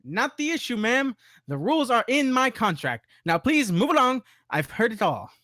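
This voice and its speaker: A man's voice: very high voice, very animated, normal speed, bright, forceful tone, no echo, studio quality, voice pushed up, full character voice. A man voicing a patronizing carnival-barker ticketmaster with showy hawking patter in a script table read. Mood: dismissive. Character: carnival-barker ticketmaster, loud, patronizing old-time huckster.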